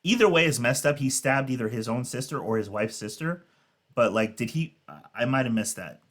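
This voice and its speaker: A man's voice: medium pitch, lively intonation, a little fast. A man giving brief, casual reactions as a commentator on a video.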